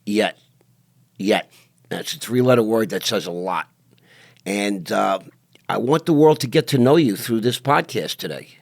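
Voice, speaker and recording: A man with a gravelly, fry-edged voice hosts a podcast from a studio. He talks in brash, hype-man banter and plays up his guest. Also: lowish voice, measured pace, long pauses. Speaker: podcast host. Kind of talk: casual interview.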